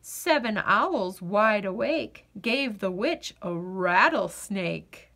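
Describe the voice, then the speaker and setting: A woman reading a children's picture book aloud in an expressive, sing-song storyteller's delivery. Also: medium pitch, takes it slowly.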